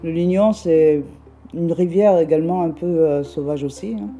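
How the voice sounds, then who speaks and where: A woman muses softly and admiringly, lingering over each image. Her voice is low and very dark and mellow, with faint music behind. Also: moderate pace. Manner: dreamy, admiring musing. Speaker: local resident. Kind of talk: personal reflection.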